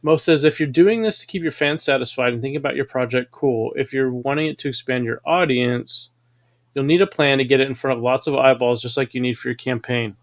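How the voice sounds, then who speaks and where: A man of ordinary pitch hosts a casual livestream. He reads out viewer comments and answers them in a relaxed, easygoing way. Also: brisk. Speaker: show host reading viewer comments.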